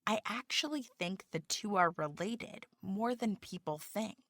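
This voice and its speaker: A woman reading the applicant's part in a scripted practice job interview, with careful, deliberate delivery. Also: mid-range voice, animated, a bit bright, no room sound, clean studio sound.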